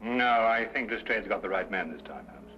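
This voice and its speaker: An actor playing an earnest, genteel detective's companion who also narrates an old-time mystery drama. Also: male voice, lowish voice, animated, normal speed, slight background noise, close to an everyday voice. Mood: concerned. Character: earnest gentleman, detective's loyal friend and narrator. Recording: dull old radio recording.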